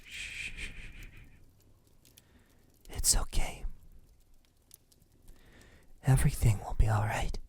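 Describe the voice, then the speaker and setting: A man playing a centuries-old vampire, lovesick and possessive, in a soft, intimate ASMR roleplay with halting, tender delivery that often drops to a whisper. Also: lowish voice, steady tone. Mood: soothing, pleading. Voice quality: breathy, soft, husky. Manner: slow, hesitant, halting, tender.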